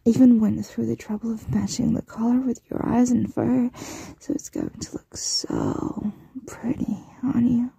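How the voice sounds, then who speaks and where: Woman acting a dark, breathy, possessive yandere mistress. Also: fairly high pitch, fairly even intonation, no room sound, full character voice. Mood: playful, pleased. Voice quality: breathy, often dropping to a whisper. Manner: teasing, condescending, drawn-out cooing. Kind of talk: ASMR roleplay.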